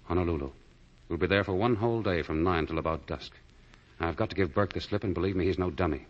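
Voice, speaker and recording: Low-voiced suave fugitive. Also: male voice, fast talker. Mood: urgent, clipped. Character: suave condemned fugitive man, tender and coolly resolute. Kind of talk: 1940s radio drama acting. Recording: muffled vintage 1940 radio broadcast.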